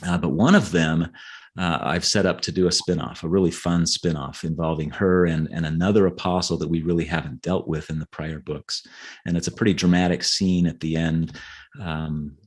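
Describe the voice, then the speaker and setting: A man's voice: deep voice, steady tone, moderate pace, breathy edge. A man talking as an author in a conversational podcast interview, earnest and explanatory, often restarting his phrases.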